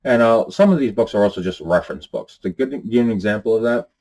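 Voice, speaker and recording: Deep-voiced man chatting to camera. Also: expressive, quick pace, darkish tone. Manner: rambling, many fillers, earnest. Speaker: channel host. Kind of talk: casual talk to camera. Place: small room with bookshelves and dark curtain.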